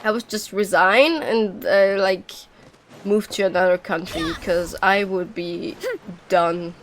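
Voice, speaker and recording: A woman's voice: mid-range voice, fairly even intonation, takes it slowly, a little hiss or hum. A woman giving casual live commentary as she streams a video game. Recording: stream with game music behind.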